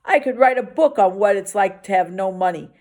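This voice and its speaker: A woman's voice: medium pitch, animated, a little fast, a little mellow, close to an everyday voice. A woman voicing a defiant, indignant young girl in a children's novel reading. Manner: indignant, emphatic.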